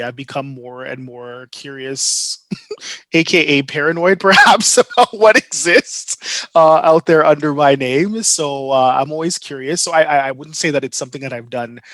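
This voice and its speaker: A man's voice: mid-range voice, a bit bright, dry recording. A man author answering an interview question reflectively, hedging his words with nervous laughs. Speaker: guest author.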